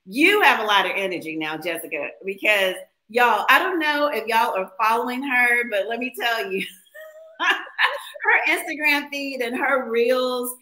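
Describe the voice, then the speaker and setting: A woman hosts a motivational show, effusive and animated, with a bright, forceful tone. Her voice is fairly high and rings in a roomy space. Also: unhurried. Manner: effusive, animated, casual y'all. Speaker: host. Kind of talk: enthusiastic show hosting.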